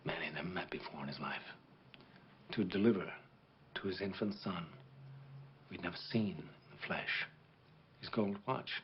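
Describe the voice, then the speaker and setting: A man playing a grave, middle-aged war veteran officer who speaks in a measured, solemn way, as if telling a story to a child. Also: low voice, lo-fi sound, slight background noise, close to an everyday voice. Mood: hushed. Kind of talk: dramatic monologue performance.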